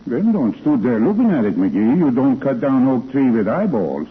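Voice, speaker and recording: A man's voice: mid-range voice, very animated, normal speed, close to an everyday voice. An actor playing a blunt Scandinavian handyman in broken English, in a 1940s radio comedy. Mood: gruff teasing. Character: blunt Scandinavian handyman and former lumber foreman. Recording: very noisy, tinny vintage radio recording.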